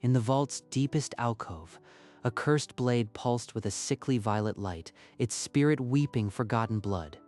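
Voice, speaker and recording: A man's voice: medium pitch, a little slow, darkish tone, faint music behind. A man narrating a scripted story in a dramatic style.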